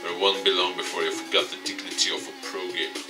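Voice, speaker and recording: A man's voice: deep voice, flat delivery, fast talker, far from the mic, loud music behind the voice, voice pushed down, lightly acted. A man voicing an arrogant young male pro gamer from a visual novel, taunting and condescending. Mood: cold contempt. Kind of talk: visual novel read-aloud.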